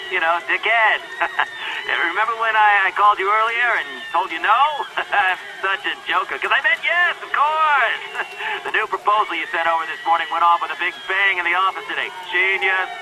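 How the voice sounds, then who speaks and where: A man's voice: high-pitched, normal speed, background noise, natural voice. An actor playing an awkward, talkative male game studio executive leaving a phone message in a video game. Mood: nervous, overeager. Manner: talkative, awkward, forced joviality.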